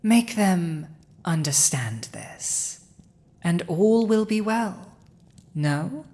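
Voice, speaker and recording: A woman's voice: lowish voice, lively intonation, dark tone. A woman playing a haughty, cunning dragon ruler in human form, smug and commanding, in a roleplay audio drama spoken to the listener. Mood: commanding, silky. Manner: smug, commanding, deliberate, with pauses.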